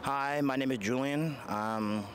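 A nineteen-year-old male film student answering haltingly outdoors into a handheld mic. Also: mid-range voice, a little hiss or hum. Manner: halting, searching for words. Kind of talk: casual answers. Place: outdoors on campus.

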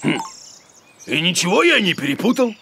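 A man's voice: high-pitched, very animated, moderate pace, vocal fry, loud music behind the voice, heavily acted. A man voicing a grumpy, boastful, childlike bearded monster teacher in a children's cartoon, stammering and mangling his words. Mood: boastful, indignant. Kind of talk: animated educational children's cartoon acting.

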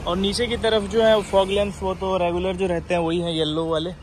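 A man's voice: high-pitched, little pitch movement, fairly quick. A man giving an unscripted car sales walk-around, talking persuasively and dropping in English terms. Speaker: used-car dealer presenting a car. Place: outdoors by a roadside lot and inside a car. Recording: clear outdoor ambient and vehicle noise.

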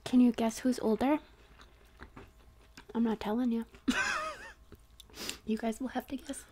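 A young woman hosting a soft-spoken eating video, chatting quietly and often in a whisper, recorded on a couch at home. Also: on the high side, steady tone, measured pace, noisy background. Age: young adult. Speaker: channel host. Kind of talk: soft-spoken mukbang chat. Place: living room couch, indoors.

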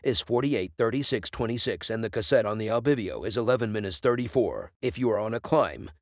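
Steady man reading a dry review. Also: lowish voice, fairly quick, no room sound. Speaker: product reviewer. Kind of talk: scripted product review narration. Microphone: voice-over.

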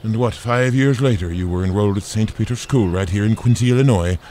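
A man's voice: on the low side, brisk, mellow, a little hiss or hum, lightly acted. An actor playing a kindly, proud older parish priest in an audio drama, with a folksy, hesitating, fatherly way of talking. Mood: fond, proud.

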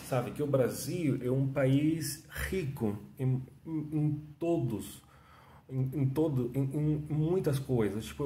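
A man's voice: medium pitch, dark tone, a little hiss or hum. A man in his thirties from Venezuela, speaking with a Venezuelan Spanish accent as a second-language speaker, telling his life story in a casual, chatty monologue with many restarts and tag questions. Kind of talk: casual self-interview monologue. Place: small room at home, indoors. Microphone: phone camera at arm's length. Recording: echoey room.

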